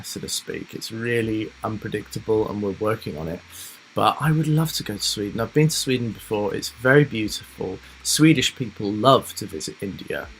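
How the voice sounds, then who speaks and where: A man hosting a casual live stream, chatting loosely to his viewers with lots of fillers, rambling asides and soft laughs. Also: medium pitch, husky, low-quality recording. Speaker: reaction livestream host.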